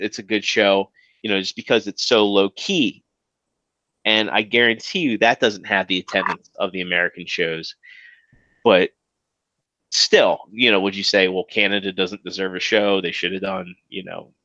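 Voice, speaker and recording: A man's voice: lowish voice, bright, forceful tone, dry recording, lo-fi sound. A man with a Maryland accent co-hosting a podcast, rambling casually with lots of fillers and restarts. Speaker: podcast co-host. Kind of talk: casual chat.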